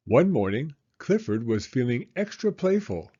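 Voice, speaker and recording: A man reading a children's story aloud in an expressive, deliberate storytelling manner. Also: medium pitch, highs cut off. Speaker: storybook reader. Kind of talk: children's read-aloud narration.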